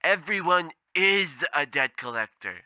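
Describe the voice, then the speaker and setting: A man's voice: a little high, expressive, a little slow, lo-fi sound, very noisy. A man playing a blunt, condescending, cheerfully unhelpful temp agency man in a comedic old-time radio play, patronizing and matter-of-fact. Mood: ominous advice.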